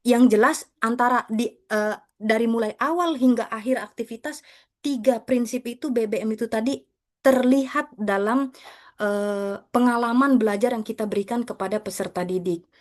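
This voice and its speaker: A woman's voice: fairly high pitch, normal speed. A woman speaking as a teacher, explaining material to fellow educators in a conversational, respectful presentation style. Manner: conversational, repeatedly addressing listeners with respectful terms.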